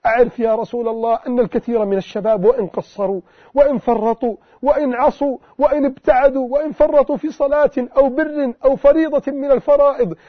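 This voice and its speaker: A man with a strikingly high voice preaches a pleading, impassioned sermon built on repeated refrains. The sound is thin and phone-like, with no room echo at all. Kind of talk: emotional sermon addressing the Prophet. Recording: tinny phone-like lo-fi recording.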